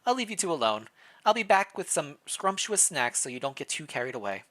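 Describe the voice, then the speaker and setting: A man's voice: a little high, big pitch swings, brisk, bright, forceful tone, voice pushed up. Man reading as a breezy, meddling royal advisor. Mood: breezy, teasing.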